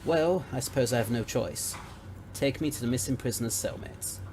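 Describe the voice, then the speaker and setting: A man's voice: mid-range voice, moderate pace, background noise, close to an everyday voice. A man acting in an audio drama as a courteous, inquisitive investigator, speaking formally and politely. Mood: resigned, firm.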